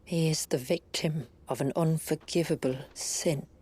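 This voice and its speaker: Solemn, low-voiced village elder. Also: female voice, fairly even intonation, dark tone, breathy edge. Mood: sorrowful, grave. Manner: measured, formal, grave. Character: solemn, dutiful female village elder, steadfast and sorrowful. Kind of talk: video game cutscene acting.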